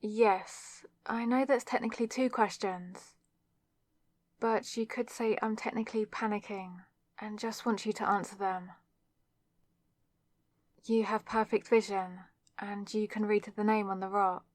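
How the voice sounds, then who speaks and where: A woman's voice: medium pitch, steady tone, unhurried, long pauses, no echo, studio quality, close to an everyday voice. A young woman playing a flustered, awkward student witch with a wry, self-deprecating manner in an ASMR roleplay, speaking straight to the listener. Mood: wry, panicking. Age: young adult. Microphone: close microphone in a dry space.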